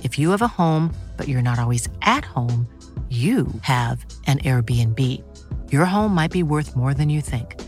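A woman reads a casual testimonial advertisement. Her voice is very low and her delivery is chatty and conversational. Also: expressive, a little slow, a little mellow, faint music behind. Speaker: ad reader.

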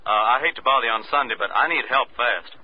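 A man's voice: mid-range voice, quick pace, dry recording, close to an everyday voice. An American man acting a worried, apologetic client in a 1940s radio drama, earnest and hesitant. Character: worried, hesitant male client pleading for help. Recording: dull, dry old radio recording.

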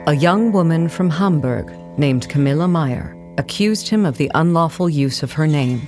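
A woman's voice: deep voice, expressive, moderate pace, a little mellow, faint music behind. A woman narrating an audio play in a scripted documentary style.

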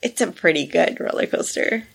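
Guest on a chat podcast, mid pitch, giggly and lively. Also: moderate pace, gravelly, slightly rough. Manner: giggly, animated. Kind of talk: casual chat.

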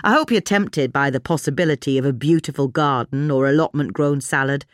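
A woman's voice: extremely low pitch, a little fast, no echo, studio quality. A woman reading a scripted gardening article as narration. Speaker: article reader.

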